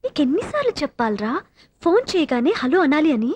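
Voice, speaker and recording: An actress playing a feisty, sharp-tongued young woman in a Telugu comedy film, assertive and quick to retort. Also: high voice, sing-song, a little hiss or hum. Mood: scolding. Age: young adult.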